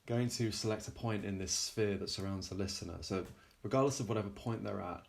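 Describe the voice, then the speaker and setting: A man presenting his own software in an informal technical demo, halting and often pausing mid-sentence. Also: lowish voice, vocal fry. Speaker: plugin developer presenting a demo.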